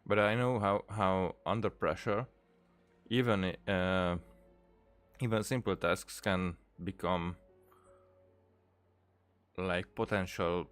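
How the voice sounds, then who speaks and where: A man giving casual let's play commentary, musing reflectively and haltingly, often repeating his words. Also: sits low, fairly even intonation, a bit bright, clear, resonant tone. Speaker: game streamer. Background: faint game music.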